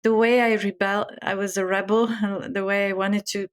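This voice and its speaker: A woman's voice: mid-range voice, little pitch movement, moderate pace. Bulgarian-accented woman telling her story. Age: middle-aged. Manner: earnest, laughs often while telling stories. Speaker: guest chef and author. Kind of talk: interview storytelling.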